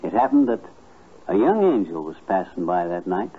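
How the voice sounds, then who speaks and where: A man's voice: low voice, lively intonation, moderate pace, breathy edge. An actor playing a kindly, folksy man telling stories to a child in homespun, hesitant speech, in 1950s radio comedy style. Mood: tender. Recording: muffled vintage 1950s radio recording.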